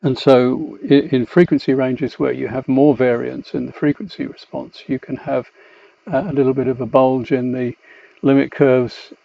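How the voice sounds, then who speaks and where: A man explaining a technical software demonstration in a methodical, hesitant way, pausing as he goes. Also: mid-range voice, flat delivery, gravelly. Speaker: company executive presenting a product tutorial. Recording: slightly dull, muffled lo-fi voice track.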